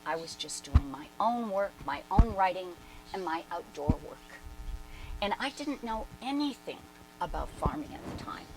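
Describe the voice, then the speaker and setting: A woman's voice: mid-range voice, lightly acted, rough recording. A woman performing a dramatic reading as a brash, independent-minded woman recalling her past in emphatic, conversational asides. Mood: candid, independent. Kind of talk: dramatic reading, animated first-person storytelling.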